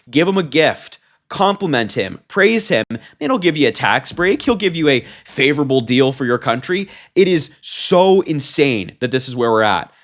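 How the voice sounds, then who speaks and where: A man hosting a political commentary show, reading out reports and reacting with emphatic, indignant disbelief. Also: medium pitch. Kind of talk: reading a transcript aloud with opinionated commentary.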